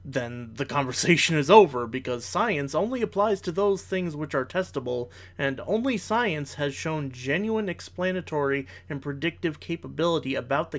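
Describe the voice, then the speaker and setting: A man with a somewhat high-pitched voice presents a scripted rebuttal as a commentator. His wording is formal and analytical, and he is blunt in his criticism. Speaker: commentator, video host.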